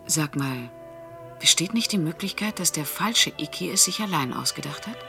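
A shrewd woman talks with a detective in a radio crime drama. Her voice sits low, and she is wry, teasing and direct in the way she probes. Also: lively intonation, normal speed, gravelly, pushed and bright, faint music behind, exaggerated voice. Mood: teasing, frank. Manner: probing, wry, frank.

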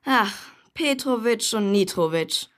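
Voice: mid-range voice, animated, slow pace, fairly bright tone. A young performer playing a chatty, cocky boy superhero who likes to explain things, in a children's radio play. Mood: excited, explaining. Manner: breezy, eager, explanatory, casual.

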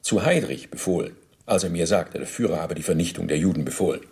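A man's voice: sits low, expressive, fast, darkish tone. An actor playing an evasive, pedantic former Nazi official who answers his interrogator formally and deferentially in a German radio docudrama. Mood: defensive.

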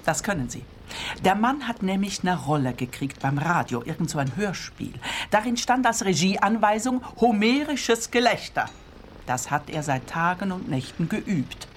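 A woman's voice: mid-range voice, big pitch swings, normal speed, slight background noise, lightly acted. An actress playing a nosy, self-important, impatient woman in a radio play, speaking in an affected, emphatic way. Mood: triumphant. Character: nosy, self-important, impatient female client with quaint diction.

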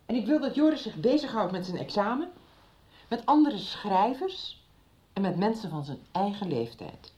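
A woman's voice: mid-range voice, very animated, normal speed, a little mellow, natural voice. An actress playing an anxious, disapproving mother in a radio drama, firm but hesitant and evasive. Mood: worried, reproachful. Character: anxious, disapproving middle-aged mother of teenage boy. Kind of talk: 1990s radio drama acting. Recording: slightly dull radio drama recording.

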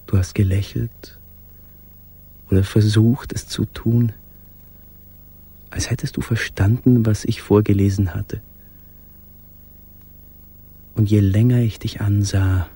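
An actor playing a brooding, tender musician in a literary radio drama, speaking in an intimate, measured way with a husky voice. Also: male voice, fairly low pitch, dark tone, heavily acted. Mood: tender, wistful. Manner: intimate, measured, sometimes dropping to a whisper. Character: brooding, tender male musician writing to his beloved. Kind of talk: literary radio drama monologue with recited verse.

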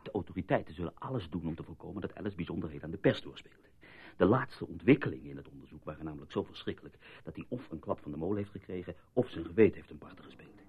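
A man's voice: low-pitched, lively intonation, racing, husky, full character voice. An actor playing an urgent, emphatic male newspaper journalist in a Dutch radio play. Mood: grave, explanatory. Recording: muffled phone-like old radio recording.

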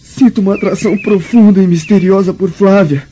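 A man's voice: high voice, fairly even intonation, brisk, mellow, lightly acted. An actor with a Brazilian accent playing an anguished young Roman nobleman in a radio drama, with solemn, sorrowful, formal delivery. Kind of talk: melodramatic radio drama acting. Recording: dull lo-fi radio drama, background noise.

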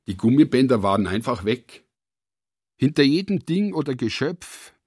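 A man's voice: medium pitch, a little mellow, no room sound, studio quality. A man reading scripted literary prose as the narrator of a radio production.

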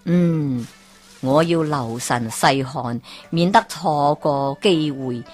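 Stern old male sage in a storybook tale, read by a woman, low and unhurried. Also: darkish tone, faint music behind. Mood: solemn.

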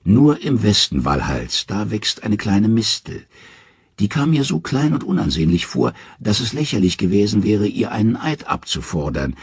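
A man's voice: on the low side, very animated, moderate pace, slightly rough, no echo, studio quality, voice pushed up, full character voice. A man voicing a proud, defiant mother goddess.

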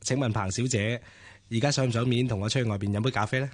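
An actor playing a polite, bookish, gently flirtatious gentleman in a radio drama, with a Hong Kong Cantonese accent. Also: mid-range voice, steady tone, fast talker, natural voice. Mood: gently courteous. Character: courteous, bookish gentleman, friendly and attentive suitor.

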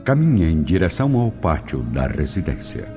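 Very deep male dramatic narrator. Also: sing-song, moderate pace. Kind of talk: solemn dramatic scripted narration. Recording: dull audio, clear music behind.

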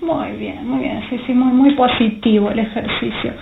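A woman's voice: fairly high pitch, fairly even intonation, moderate pace, vocal fry. A woman playing a welcoming, chatty Argentine workshop leader who coaxes her group in improvised radio theatre. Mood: instructing. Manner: chatty, coaxing, hesitant. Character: welcoming, coaxing woman leading a literary workshop. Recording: tinny, echoey, noisy phone-like sound.

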